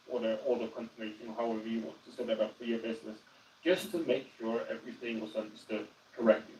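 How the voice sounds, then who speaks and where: A man with a Danish accent gives an animated, casual walkthrough of AI phone agents on a live stream, often restarting his sentences. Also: mid-range voice, far from the mic, a little hiss or hum. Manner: animated, explanatory, with many restarts. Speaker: guest explaining AI phone agents. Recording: roomy echo, voice away from the mic.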